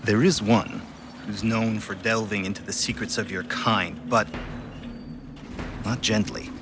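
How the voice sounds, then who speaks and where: An actor playing a cautious scientist in a video game, speaking in a measured, dryly understated way. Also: male voice, on the low side, steady tone, over music, natural voice. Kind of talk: video game cutscene acting.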